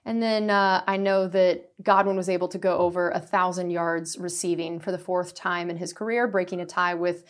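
A woman's voice: fairly low pitch, flat delivery, normal speed, few pauses. Young woman asking long interview questions. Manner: long wordy questions with fillers. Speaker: team reporter and show host.

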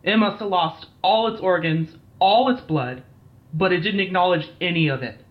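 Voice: medium pitch, moderate pace, natural voice. An actor playing a shaken, foul-mouthed military team captain in an audio drama, blunt and weary, on a slightly dull recording. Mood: haunted, angry. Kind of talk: dramatised incident interview, audio drama acting.

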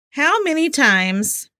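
A woman co-hosting a trivia game with casual, playful banter and a giggly, excitable manner. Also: sits high, no echo, studio quality. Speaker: trivia game show co-host and contestant.

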